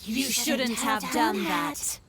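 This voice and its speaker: A woman's voice: high voice, slow pace, noisy background. An actress playing an ominous, reproachful female spirit from beyond, speaking portentously in a horror game. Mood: menacing. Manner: portentous, accusing. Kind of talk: slow dramatic horror game acting.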